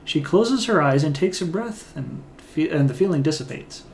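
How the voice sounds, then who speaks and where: A man's voice: a little high, expressive, moderate pace, creaky, a little hiss or hum. A man casually reading a game's dialogue and narration aloud, often hesitating as he reads. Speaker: game let's player reading dialogue aloud.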